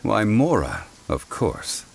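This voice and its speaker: A man voicing a composed, learned gentleman in a video game, speaking in a formal, measured, explanatory way. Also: fairly low pitch, wildly swooping pitch, vocal fry, soft background music, full character voice. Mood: wry, composed.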